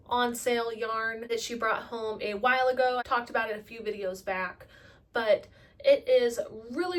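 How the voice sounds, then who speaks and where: A woman in her late twenties chatting casually about her crafting projects in a relaxed monthly update, with the odd self-deprecating joke. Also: high-pitched, distant mic. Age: late 20s. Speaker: crafting vlogger.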